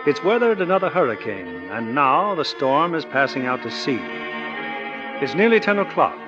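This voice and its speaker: A man's voice: fairly high pitch, big pitch swings, normal speed. A man with an American accent narrating a 1940s radio drama in a dramatic scripted style. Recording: dull 1940s radio broadcast, music behind. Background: music.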